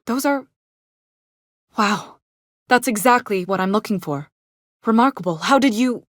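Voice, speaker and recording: An actress playing a capable, no-nonsense chief engineer and station captain in a video game, with a measured, dry, businesslike manner. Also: female voice, on the low side, dry recording, close to an everyday voice. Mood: amazed. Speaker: game character voice. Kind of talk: video game character dialogue.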